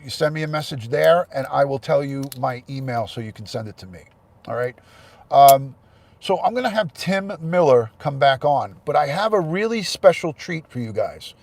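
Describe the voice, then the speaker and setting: A man's voice: mid-range voice, expressive, normal speed, darkish tone. A man hosting a casual livestream, congested with a head cold, chatting and thanking viewers by name. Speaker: livestream host.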